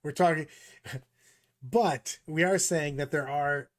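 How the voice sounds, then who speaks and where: A man talks tabletop wargaming on a podcast, speaking into a studio mic. His voice sits a little high, and he makes his points emphatically, often repeating words as he gets going. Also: slow. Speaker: podcast co-host. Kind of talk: casual gaming discussion.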